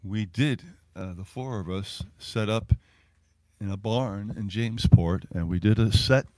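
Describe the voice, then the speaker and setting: A middle-aged or older man, a musician, chatting casually to an online audience in a halting, rambling, reminiscing way. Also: on the low side, darkish tone, no room sound. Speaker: singer and musician hosting a livestreamed set. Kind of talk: casual between-song chat to an online audience.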